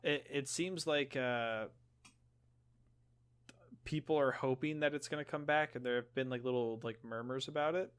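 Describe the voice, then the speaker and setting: A man with a medium-pitched voice talks as a podcast co-host. His delivery is casual and informal, rambling through explanations as if chatting with friends. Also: fairly even intonation, normal speed, long pauses, a bit bright, clean tone. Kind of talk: casual podcast chat about games.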